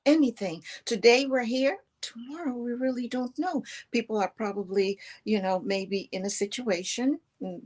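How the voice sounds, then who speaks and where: A woman's voice: a little high, normal speed, few pauses, darkish tone. A woman from the Netherlands with a Dutch accent, giving earnest, rambling spiritual encouragement and repeating words for emphasis. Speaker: show co-host. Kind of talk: conversational spiritual encouragement to viewers.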